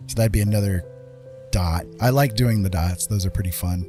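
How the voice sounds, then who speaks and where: A man's voice: lowish voice, normal speed, warm tone. A man hosting a casual game livestream, rambling and thinking aloud as he chats with viewers. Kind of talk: casual livestream chat while playing. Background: game music.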